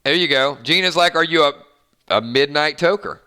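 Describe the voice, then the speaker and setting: A man with a bright, fairly high voice hosts a relaxed live stream. He chats with his viewers, reacts to their comments and rambles along with plenty of fillers. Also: normal speed. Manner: chatty, upbeat, lots of fillers and restarts. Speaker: host of a fan live stream.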